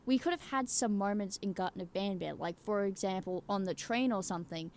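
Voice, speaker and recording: A woman's voice: medium pitch, a little fast, slight background noise. A woman giving casual, rambling opinion commentary in an emphatic way, swearing casually and checking in with the listener. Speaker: YouTuber giving game opinions.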